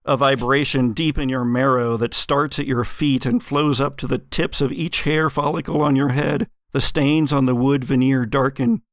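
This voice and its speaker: A man narrating gothic horror as game master, with measured, dramatic, atmospheric storytelling. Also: mid-range voice, fairly even intonation, no echo.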